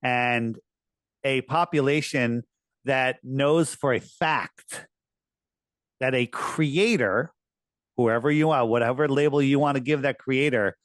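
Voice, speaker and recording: A man speaking as a podcast guest, earnest and emphatic, his voice worn and going hoarse. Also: slow pace, no room sound. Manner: earnest, emphatic, rising pitch on key points. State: losing his voice. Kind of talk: conversational interview talk.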